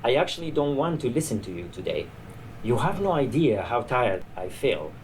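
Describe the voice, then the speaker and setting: A man's voice: medium pitch, big pitch swings, moderate pace, darkish tone. A man reading the part of a tired old border guard in a story, chatty, dismissive and grimly amused. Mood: weary, dismissive. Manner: weary, chatty, discouraging. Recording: noisy live reading in a bar.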